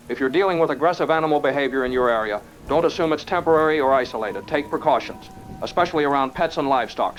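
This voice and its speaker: A man's voice: a little high, lightly acted. An actor playing an alarmed, cautious radio operator in an audio drama, urgent and earnest as he warns others. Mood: grave warning. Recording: dull radio sound, music and rain.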